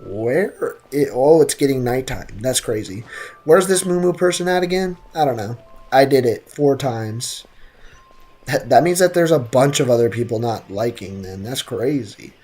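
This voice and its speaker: A man's voice: medium pitch, lively intonation, normal speed, darkish tone, slightly rough. A young man giving casual live gameplay commentary as a streamer, slangy, joking and sarcastic, often repeating his phrases. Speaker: game streamer. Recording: game music behind. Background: video game music.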